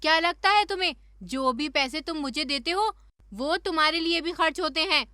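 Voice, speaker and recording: Woman as nagging village wife, cartoon, high bright voice, sharp-tongued, sarcastic, scolding, dry sound. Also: moderate pace, no room sound. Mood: indignant, sarcastic. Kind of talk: Hindi cartoon story dubbing.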